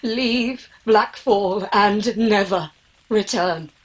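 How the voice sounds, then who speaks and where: A woman's voice: mid-range voice, very slow, noisy background. An actress playing a haughty elven noblewoman, commanding and cold in her grief. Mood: cold fury. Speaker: Lady Shiredove.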